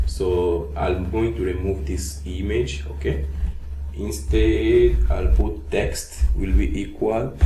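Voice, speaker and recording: A man with a low, soft voice, whose first language is Portuguese, teaching a coding lesson one step at a time. He breaks up his steps with "uh" and asks "okay?" again and again. The room sounds echoey, with a faint hiss underneath. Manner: narrates each step, frequent "okay?" check-ins and "uh" fillers. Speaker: coding tutor. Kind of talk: screen-recorded coding tutorial.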